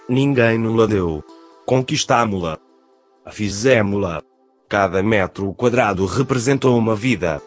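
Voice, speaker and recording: A man reading a history book aloud, steady and formal, slowing to recite dates and sources. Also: fairly low pitch. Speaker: narrator.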